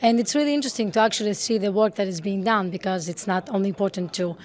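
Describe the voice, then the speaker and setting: A woman student from Greece, answering interview questions in an earnest, steady way. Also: mid-range voice, fast talker.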